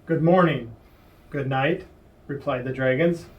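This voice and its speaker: A man's voice: mid-range voice, a little slow, dull audio. A man reading a children's storybook aloud. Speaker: storybook reader. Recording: distant mic.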